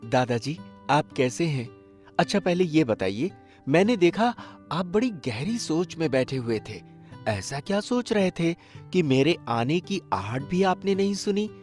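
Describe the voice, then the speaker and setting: A man voicing a devoted, brave young grandson in a cartoon story, earnest and expressive. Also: on the high side, measured pace. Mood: affectionate, curious. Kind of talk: Hindi cartoon story voice acting. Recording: faint music behind.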